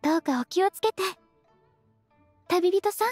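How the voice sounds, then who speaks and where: Very high, gentle, polite young woman. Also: animated, normal speed, no echo. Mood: reassuring, polite. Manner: soft-spoken, formal, courteous. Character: gentle, polite, earnest young female secretary. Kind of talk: Japanese game voice acting. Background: music.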